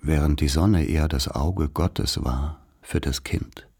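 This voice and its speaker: A man narrating a literary radio play in the first person as someone recalling a rural childhood, measured and reflective. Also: a bass voice, dark tone, breathy edge, exaggerated voice.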